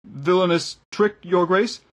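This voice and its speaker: Man playing a timid, husky, stammering priest. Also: medium pitch, normal speed, lightly acted. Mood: tremulous, apologetic. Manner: hesitant, stammering, tremulous. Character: timid, flustered male priest, cowed and fawning.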